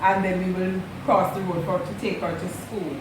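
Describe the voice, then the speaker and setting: A woman, the host's mother, fondly telling stories about her daughter's childhood at an unhurried pace and repeating herself for emphasis. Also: on the low side, level tone. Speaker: mother of the channel host, guest. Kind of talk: casual storytelling. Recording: echoey room, distant mic, noisy.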